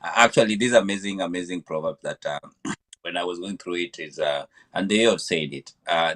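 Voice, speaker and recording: A man's voice: low voice, fairly bright tone. A man from Kenya, a podcast guest, talking in a hesitant way with many fillers and repeated words. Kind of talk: conversational interview answer.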